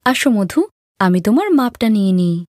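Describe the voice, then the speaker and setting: A woman voicing a polite, helpful young seamstress in an animated story, eager to help. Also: medium pitch, moderate pace, no echo, clean studio sound, lightly acted. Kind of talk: Bengali animated moral story acting.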